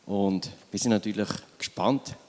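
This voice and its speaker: A man's voice: lowish voice, fairly even intonation, unhurried, mellow, in a large hall. A man introducing and interviewing a guest at a church service, asking friendly, direct questions.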